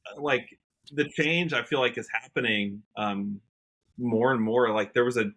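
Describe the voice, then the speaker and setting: Bright-voiced American man, earnest. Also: on the low side. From United States, Washington state. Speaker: podcast guest, country musician. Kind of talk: casual podcast conversation.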